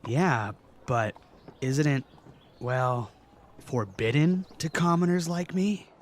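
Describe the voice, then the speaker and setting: A man's voice: medium pitch, slow, natural voice. A man playing a modest, playful young knight with a casual, hesitant delivery in a fan audio drama. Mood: playful, bashful.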